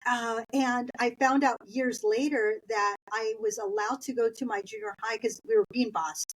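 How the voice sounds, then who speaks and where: A woman's voice: a little high, fairly even intonation, normal speed, slight background noise, low-quality recording. Chatty Los Angeles woman telling stories. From East Los Angeles, Southern California. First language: Spanish. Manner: chatty, anecdotal, laughs while telling stories. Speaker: guest. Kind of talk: conversational interview storytelling.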